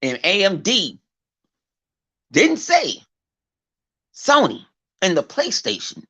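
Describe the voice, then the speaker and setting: A man's voice: sits high, huge pitch swings, slow pace, pushed and bright, husky. A man with a big voice, ranting in a casual vlog, profane and full of fillers and repeated words. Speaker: gaming YouTuber. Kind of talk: casual off-the-cuff vlog rant. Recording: dull lo-fi recording.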